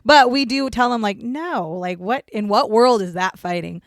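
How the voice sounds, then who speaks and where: A woman's voice: fairly high pitch, normal speed, no echo. Chatty laughing woman, dry close mic. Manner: chatty, laughs often, rambling asides, swears. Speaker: podcast co-host. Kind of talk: casual banter podcast.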